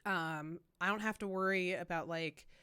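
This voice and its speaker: A woman's voice: on the low side, fairly even intonation, moderate pace, bright, forceful tone, clean tone. Congested woman chatting, worried. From Canada. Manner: chatty, filler-heavy, worried. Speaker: streamer. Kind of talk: casual chat.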